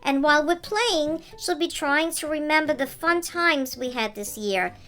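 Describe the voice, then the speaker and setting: A woman's voice: sits high, lively intonation, a little slow, pushed and bright, faint music behind, voice pushed up, exaggerated voice. A woman voicing young schoolchildren, boys and girls, as characters in a picture book read-aloud. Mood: earnest.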